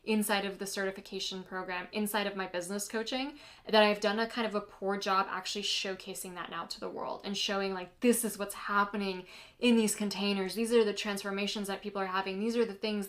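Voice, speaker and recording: A woman's voice: medium pitch, little pitch movement, fairly quick, a bit bright. A woman from the United States whose first language is Polish, hosting a casual solo podcast as a business coach, chatty and earnest with lots of "like" and "you know".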